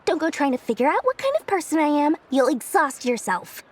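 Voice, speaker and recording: A woman playing a brisk, proud businesswoman in a game dub, speaking in a breezy, assertive, matter-of-fact way. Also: sits high, very animated. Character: brisk, proud businesswoman and investor-minded merchant lady. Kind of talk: English video game dub acting.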